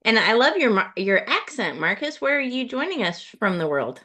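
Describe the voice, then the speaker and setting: A woman hosts a video call with bubbly enthusiasm, her pitch swinging lively up and down. She laughs easily as she welcomes each guest. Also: moderate pace. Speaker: host of a speakers' community meeting. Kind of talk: hosting a video call meeting.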